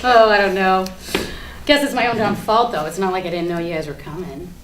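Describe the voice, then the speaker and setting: A woman playing a defiant, foul-mouthed suspect under questioning in a staged monologue, rambling in a chatty, sarcastic, halting way. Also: mid-range voice, fairly even intonation, fairly bright tone, far from the mic, close to an everyday voice. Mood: rambling, self-conscious. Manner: chatty, sarcastic, halting, breaks into nervous laughs. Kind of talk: dramatic monologue in a staged reading. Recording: echoey room with faint background noise.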